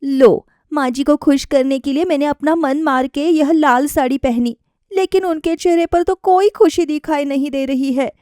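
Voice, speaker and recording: A woman's voice: sits high, moderate pace, warm tone, dry recording, clean studio sound, close to an everyday voice. A woman voicing a wistful young daughter-in-law eager to please, earnest and plaintive, in a story. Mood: hurt, plaintive.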